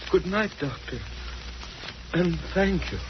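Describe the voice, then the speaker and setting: An actor playing a needy, frightened injured husband, halting and overwrought, in 1940s radio drama style on a vintage recording. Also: male voice, high voice, animated, lo-fi sound, background noise. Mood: needy, uneasy.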